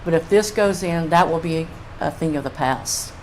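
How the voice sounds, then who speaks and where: A woman's voice: low-pitched, normal speed, a little mellow, poor audio. An older woman giving informal public testimony as a resident. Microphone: public comment microphone. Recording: faint room noise on meeting mic.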